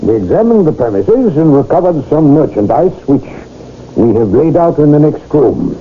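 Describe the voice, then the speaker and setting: A man's voice: fairly high pitch, big pitch swings, a little hiss or hum. An actor playing a stern, middle-aged lawman in a 1970s radio drama, formal and official in manner. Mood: brisk, official. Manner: formal, measured, dry officialese. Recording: tinny old radio broadcast with music.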